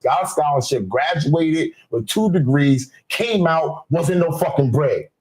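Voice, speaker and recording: Husky new guy going off on a panel, a bit higher voice, loud and repeating himself. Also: unhurried, rough recording. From South Jamaica, Queens, New York. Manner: emphatic, repeats himself, swears freely. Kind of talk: heated casual panel debate.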